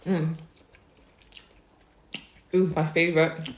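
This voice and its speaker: A woman's voice: sits low, flat delivery, takes it slowly, roomy sound, a little hiss or hum. A young woman from Wales, hosting a casual eating vlog, chatting about herself and humming as she tastes her food. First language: Chinese. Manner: chatty, savouring food with soft hums between remarks. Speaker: food vlogger.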